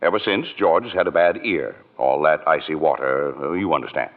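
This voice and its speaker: An actor playing a patient heavenly elder, narrating in folksy American 1940s radio drama style. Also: male voice, very deep voice, brisk. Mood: patient, grave. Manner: folksy, explanatory. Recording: muffled old radio broadcast, faint music.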